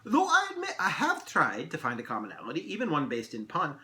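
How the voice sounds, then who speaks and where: A man voicing a tiny, merry and pompous male elf in a storybook, with theatrical, old-fashioned, drawn-out phrasing. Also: a little high, huge pitch swings, pushed and bright, echoey room, pitched above the performer's own voice, exaggerated voice. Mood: musing, playful. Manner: theatrical, archaic formal phrasing, drawn-out delivery. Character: tiny mercurial male elf, merry, pompous, riddle-loving pipe smoker.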